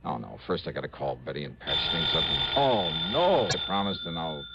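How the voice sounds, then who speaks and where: An actor playing a harried, wry insurance investigator in a radio drama, stammering protests and muttering wearily. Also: male voice, very deep voice, expressive, normal speed. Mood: weary muttering. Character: harried, exasperated male insurance investigator, wry and soft-hearted. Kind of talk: 1960s radio drama acting. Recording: dull, hissy old radio broadcast.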